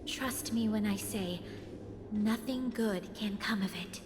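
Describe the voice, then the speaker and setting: A woman playing a mysterious, serene guardian of memories in a video game, speaking solemnly and in measured phrases. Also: mid-range voice, natural voice. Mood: solemn, gentle. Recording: long reverb with game music behind.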